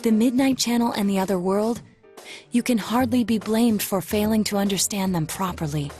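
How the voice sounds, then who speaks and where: A woman playing a composed, analytical teenage detective in a video game, with measured, formal and precise speech. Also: medium pitch, darkish tone, soft background music, natural voice. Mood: sober, respectful. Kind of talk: English game voice acting.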